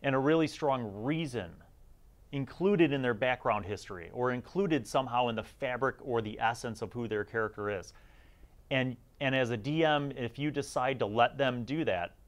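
A middle-aged man giving casual, conversational review commentary as the host of a gaming series, chatty and informal with emphasis on key words. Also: mid-range voice.

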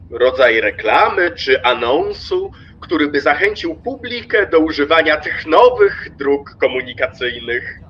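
Male actor as a smug stationmaster for radio drama: highish voice, deliberate delivery, somewhat dull, noisy recording. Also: fairly bright tone, full character voice. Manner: pompous, self-satisfied, deliberate. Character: smug, pragmatic railway stationmaster. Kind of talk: radio play acting.

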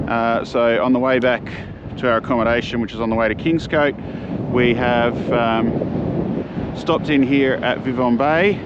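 Australian man, casual fishing commentary, outdoor jetty, muffled camera audio, wind and water noise. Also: mid-range voice. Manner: laid-back, chatty, slangy. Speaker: fishing vlogger. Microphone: camera-mounted mic, first-person view.

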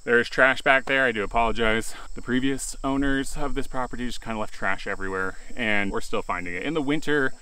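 A man's voice: mid-range voice, brisk, few pauses, pushed and bright, smooth, poor audio. A man in his thirties vlogging outdoors about his homestead, talking casually into a handheld phone camera with a loose, self-deprecating manner and some restarts. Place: outdoors in a rural yard and woods. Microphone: handheld phone camera at arm's length.

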